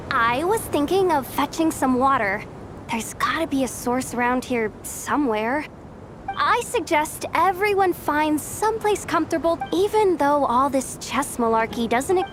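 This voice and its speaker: A woman's voice: sits high, background noise. A woman playing an earnest, obedient young employee in a video game, caring and slightly hesitant.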